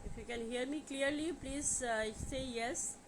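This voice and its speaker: Higher-pitched Indian-accented woman, noisy livestream. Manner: repetitive, halting with frequent "you know". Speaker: life coach hosting a live question session. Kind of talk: informal livestream talk to viewers.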